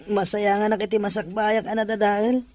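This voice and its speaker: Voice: medium pitch, level tone, fairly quick, darkish tone, lightly acted. A performer playing a remorseful, pleading young male prisoner in an audio drama, with an Ilocano accent.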